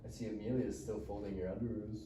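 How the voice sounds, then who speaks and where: A man's voice: fairly low pitch, level tone, quick pace, lightly acted. A man playing a sullen, defiant Mexican-American teenage boy, grumbling and slangy, at a live script reading. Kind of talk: live script table read. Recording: roomy distant mic, faint noise.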